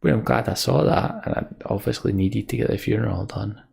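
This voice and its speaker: A man's voice: animated, brisk, darkish tone. A man hosting a let's play, commenting on a game and reading its dialogue aloud. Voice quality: low, creaky.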